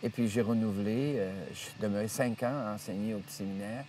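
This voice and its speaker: A man, a priest telling stories from his life in an interview, rambling with many hesitations and restarts and lively retelling of what others said. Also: lowish voice, quick pace, distant mic, slight background noise. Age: 70s. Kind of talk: storytelling interview. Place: outdoors in nature.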